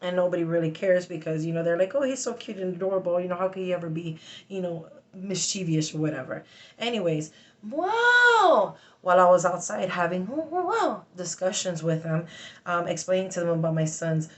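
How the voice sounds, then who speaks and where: A woman venting in a casual personal story, blunt and sweary, with halting, emphatic, agitated speech broken by sudden vocal tics. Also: on the low side, lively intonation. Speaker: vlogger telling a personal story.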